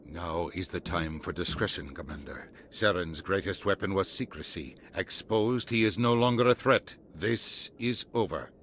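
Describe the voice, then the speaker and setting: An actor playing a self-assured, dismissive ambassador in a video game, deliberate and pronouncing, with faint noise behind. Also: male voice, deep voice, a bit bright. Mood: smug, dismissive.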